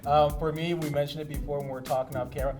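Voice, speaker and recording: A man's voice: on the high side, little pitch movement, racing. A man hosting a casual panel talk about pop culture, conversational and steering things along with questions. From Canada. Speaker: talk show host. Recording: music playing behind the voice. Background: background music.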